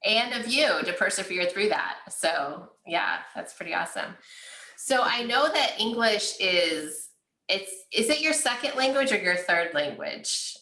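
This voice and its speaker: A woman's voice: medium pitch, big pitch swings, a little slow, bright, forceful tone, roomy sound. A woman hosting an interview over a video call, encouraging and casual, often saying "like" and "right?". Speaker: interview host.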